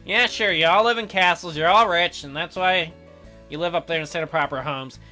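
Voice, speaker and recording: Woman reading as teenage boy, sceptical, dry sarcasm, bright everyday voice, game dialogue. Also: on the low side, brisk, faint music behind. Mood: sarcastic, casual.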